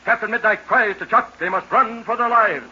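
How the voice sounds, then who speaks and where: An American man announcing and narrating a scripted 1940s radio serial, with emphatic, dramatic salesmanship pitched to youngsters. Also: normal speed, pushed and bright, slightly rough. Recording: dull old radio recording with faint noise.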